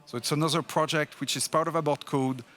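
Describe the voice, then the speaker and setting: A man's voice: a little high, fairly even intonation, fast talker, a bit bright. Emphatic man presenting at a conference. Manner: emphatic and encouraging, with fillers. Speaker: conference speaker.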